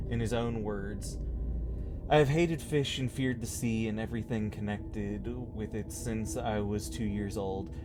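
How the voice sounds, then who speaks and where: A man streaming a game, commenting and reading text aloud. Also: fairly low pitch. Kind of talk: let's play commentary, reading on-screen notes aloud.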